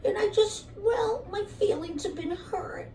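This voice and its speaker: Woman voicing a high, plaintive little frog puppet. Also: a little slow, mellow. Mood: wounded. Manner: plaintive, earnest, simple childlike phrasing. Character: hurt, earnest young male frog puppet, secretly a prince. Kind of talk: children's puppet show. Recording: distant mic with faint background noise.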